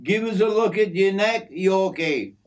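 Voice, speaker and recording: A man's voice: high voice, lively intonation, a little slow, pitched above the performer's own voice. A man voicing a hearty, teasing bushman in a told story, blunt and matey. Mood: amused. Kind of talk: acted character in a narrated memoir.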